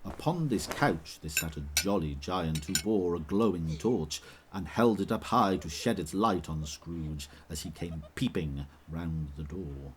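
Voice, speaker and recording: A man narrating an audio drama in measured, vivid storytelling. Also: low-pitched, a little hiss or hum.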